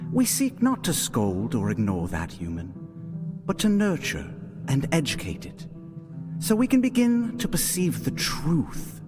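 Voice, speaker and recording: A solemn cult preacher doing a lofty eulogy, big swings in his voice, music under it. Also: mid-range voice. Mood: solemn, fervent. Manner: measured, lofty, oratorical. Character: solemn male cult leader preaching at a funeral. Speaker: religious leader. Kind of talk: ceremonial sermon, dramatic audio acting.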